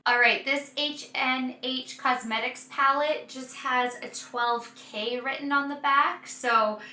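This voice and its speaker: A woman with a bright, somewhat high voice, slightly hoarse from overuse, gushing enthusiastically as she talks through a makeup tutorial. She is recorded in an echoey room with a faint hiss underneath. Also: steady tone, takes it slowly. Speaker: beauty vlogger.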